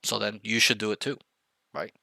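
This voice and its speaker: A man's voice: on the low side, fairly even intonation, normal speed, gravelly, sharp tone, no echo. A man coaching a younger player, blunt and emphatic, repeating key points to drive them home. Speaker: game coach. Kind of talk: coaching session, direct feedback.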